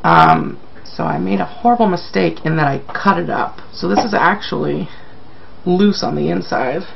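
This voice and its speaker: Male vlogger, sick and very creaky, self-deprecating casual chat, slightly dull home recording with background noise. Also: a little high, sing-song, normal speed. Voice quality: croaky. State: sick, croaky. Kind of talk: casual video update to viewers.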